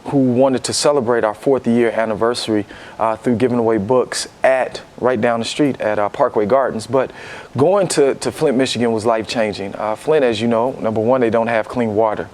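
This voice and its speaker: A man's voice: mid-range voice, fairly even intonation, moderate pace, a little mellow. An American man giving earnest, reflective interview answers, building his points step by step as a guest. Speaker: guest, ministry founder and book-drive leader.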